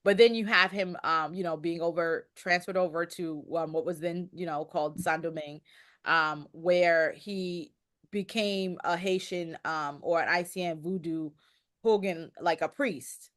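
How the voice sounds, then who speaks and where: Female podcast guest, slightly low voice, conversational history explainer who rephrases and circles back. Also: steady tone, measured pace, a bit bright.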